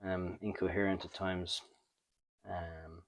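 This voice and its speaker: Very deep-voiced man, low-key and monotone. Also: slow, no room sound, dull audio. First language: not French. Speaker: host of a comic book review show. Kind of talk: casual unscripted show-and-tell commentary.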